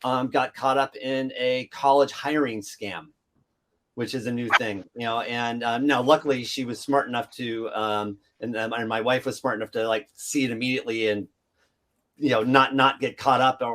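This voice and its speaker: A man's voice: medium pitch, steady tone, moderate pace, a bit bright. Rambling middle-aged man moderating. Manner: loose, rambling with many restarts and fillers. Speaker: panel moderator. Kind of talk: conference panel discussion.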